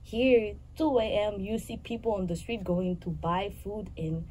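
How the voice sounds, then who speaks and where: A young woman from Haiti in her twenties, speaking as an interview guest. Her voice is mellow and soft-edged, and she talks earnestly, pausing and restarting her phrases. Faint background noise sits under the recording. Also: mid-range voice, low-quality recording. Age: 20s. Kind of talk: casual interview answers.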